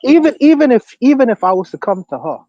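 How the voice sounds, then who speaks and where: Bahamian man, animated, on a dull dry mic. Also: very high voice, fast, no echo. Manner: animated, provocative questions, laughs at own points. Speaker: podcast host. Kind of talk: casual livestream discussion.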